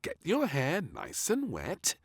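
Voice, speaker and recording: A man voicing a brisk, bossy female castle maid in a children's storybook reading. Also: a little high, wildly swooping pitch, creaky, slightly rough, no echo, clean studio sound, voice pushed up, heavily acted. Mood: brisk, instructive.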